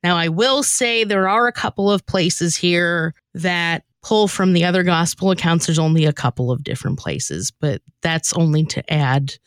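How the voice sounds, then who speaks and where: A woman's voice: fairly low pitch, moderate pace, few pauses, no room sound. A woman podcast host chatting casually as she introduces material she has written. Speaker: podcast host and skit writer. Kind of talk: casual chat.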